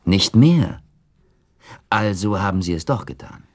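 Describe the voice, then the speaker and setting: A man playing an attentive gentleman who questions and objects in a German radio play. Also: low-pitched, animated, a little slow, dry recording, clean studio sound. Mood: surprised, probing. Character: attentive gentleman listener questioning a prince's theory.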